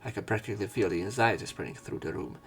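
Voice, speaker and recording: A man's voice: fairly low pitch, fast. A man narrating a visual novel as a let's player, reading the story and lines aloud.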